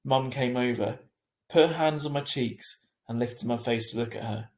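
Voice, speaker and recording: A man's voice: medium pitch, moderate pace, echoey room. A man reading a children's novel aloud as a teacher would, expressively and acting out the dialogue. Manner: animated, acts out the dialogue. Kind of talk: expressive audiobook-style narration of a children's novel.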